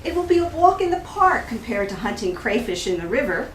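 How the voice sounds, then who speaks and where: A middle-aged woman giving an expressive live reading of a travel memoir, telling it with wry humor and emphatic pauses. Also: a little high. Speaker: author reading from their book. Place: indoors in a store at a live event. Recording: roomy, distant mic with faint background noise.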